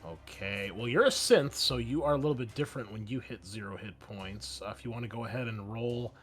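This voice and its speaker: A man running a tabletop roleplaying game as game master, narrating the action in a conversational, halting way. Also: mid-range voice, a bit bright. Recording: faint music behind the voice. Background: faint music.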